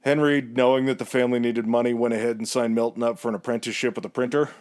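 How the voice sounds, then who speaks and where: A man's voice: mid-range voice, flat delivery, fast talker, a little mellow. A man co-hosting a casual history podcast, telling the story in a chatty, rambling way and laughing easily.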